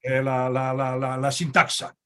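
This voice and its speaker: A man's voice: mid-range voice, completely flat delivery, measured pace, fairly bright tone, smooth. A man hosting a video-call talk in Ladino, asking long, searching questions with many fillers and restarts. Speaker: host. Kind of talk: conversational interview in Ladino.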